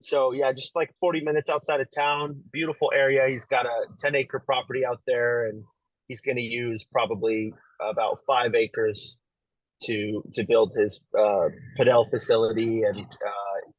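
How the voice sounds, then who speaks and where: A young man from Las Vegas, a pro pickleball player, chatting as an interview guest in a relaxed, easygoing way. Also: medium pitch, normal speed, dry recording, rough recording. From Las Vegas, USA. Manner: relaxed, easygoing, lots of fillers and light laughs. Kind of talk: casual interview talk.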